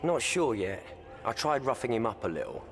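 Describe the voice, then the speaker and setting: Headstrong knight with swinging pitch. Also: male voice, sing-song, moderate pace. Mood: frustrated. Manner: forceful, plain-spoken, urgent. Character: passionate, headstrong, loyal male dragon-riding knight. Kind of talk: scripted video game dialogue.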